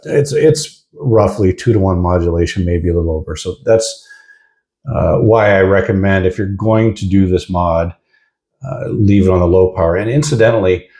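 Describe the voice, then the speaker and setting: A man with a very low, relaxed voice talks through a piece of gear as a reviewer. His delivery is casual and explanatory, like an informal hands-on demonstration. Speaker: product reviewer.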